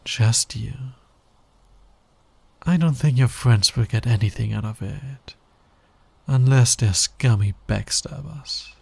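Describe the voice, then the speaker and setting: Man acting a slow, husky, flirtatious villain. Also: mid-range voice, exaggerated voice. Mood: sly teasing. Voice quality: breathy, husky, often dropping to a whisper. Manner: slow, teasing, deliberate delivery. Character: flirtatious, teasing male fantasy villain, dominant and sly. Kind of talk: intimate ASMR roleplay, romantic audio drama.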